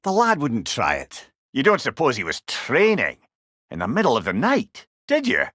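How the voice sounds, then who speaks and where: Witty older scottish mentor for a game, wise and wry, really animated delivery, no room sound. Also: male voice, mid-range voice, moderate pace, dry recording, clean studio sound. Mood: puzzled, searching. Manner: wry, avuncular, expressive. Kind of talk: video game character dialogue.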